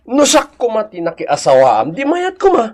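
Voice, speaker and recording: An actor playing a leering, mocking village drinking buddy in an Ilocano radio comedy, with an Ilocano accent. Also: male voice, high-pitched, wildly swooping pitch, normal speed, a little mellow, exaggerated voice.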